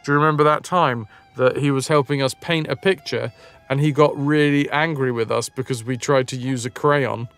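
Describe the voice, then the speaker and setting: A man's voice: mid-range voice, fairly even intonation, normal speed, a bit bright, smooth. A man doing casual live video game commentary with a sardonic, dry sense of humour. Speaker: live streamer. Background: game music.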